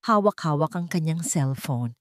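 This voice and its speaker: A woman narrator delivers a scripted dramatic story. Her pitch sits a little low for a woman, and the sound is dry, with hardly any room in it. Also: animated, moderate pace, no echo.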